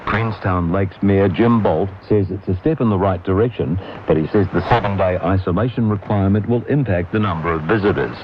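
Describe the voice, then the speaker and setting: Deep-voiced New newsreader over shortwave static. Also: male voice. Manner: measured, formal news delivery. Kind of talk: scripted radio news bulletin. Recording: noisy, muffled shortwave radio reception.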